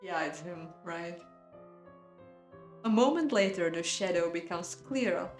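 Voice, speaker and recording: A woman's voice: on the low side, animated, measured pace, echoey room, soft background music. A woman narrating a visual novel playthrough expressively, breaking off to react and comment. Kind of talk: dramatic story narration with playful reactions.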